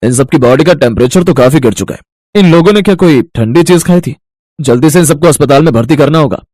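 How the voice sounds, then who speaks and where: A man's voice: on the high side, lively intonation, fast, darkish tone, no echo. A male actor voicing grown men in a family cartoon, with an exclaiming, exasperated manner. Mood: scolding. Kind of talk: cartoon dialogue acting.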